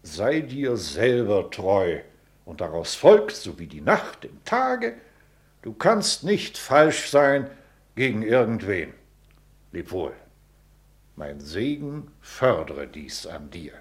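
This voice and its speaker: A man's voice: fairly low pitch, sing-song, slow. An actor playing a pompous, scolding old courtier and father in a German radio play, speaking sententiously and theatrically. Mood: solemn, advising.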